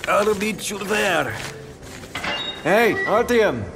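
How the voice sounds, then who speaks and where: A man playing a gruffly friendly, teasing military comrade in a video game. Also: sits high, lively intonation, very slow, heavy background noise, full character voice. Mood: teasing, brisk. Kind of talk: video game character acting.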